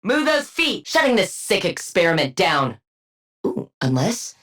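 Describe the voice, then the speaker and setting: A woman's voice: medium pitch, big pitch swings, a little slow, a bit bright. An actress playing a cool, sardonic female scientist supervillain in a video game, with precise diction and a clinical, condescending manner. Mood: smug, deadpan. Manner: clinical, condescending, dryly witty. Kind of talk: video game combat barks.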